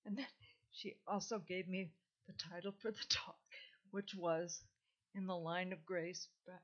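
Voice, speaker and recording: An older woman with a low voice, speaking candidly and chattily to a live audience as an invited speaker. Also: fairly even intonation, a little slow. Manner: candid, chatty and warm-humored, with soft laughs. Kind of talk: informal talk to a live audience. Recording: dull lo-fi sound.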